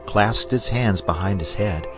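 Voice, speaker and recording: A man narrating a children's story as an audiobook reader, in an expressive storytelling manner. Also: on the low side, unhurried, over music.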